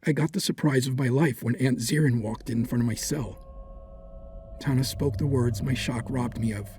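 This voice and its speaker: A man's voice: medium pitch, normal speed, darkish tone, slightly rough. A man playing a proud, hot-tempered warrior in an audio drama, speaking in grave, formal, theatrical phrases. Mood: brooding. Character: proud, hot-tempered dark warrior, fiercely protective brother. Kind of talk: first-person dramatic audio drama narration.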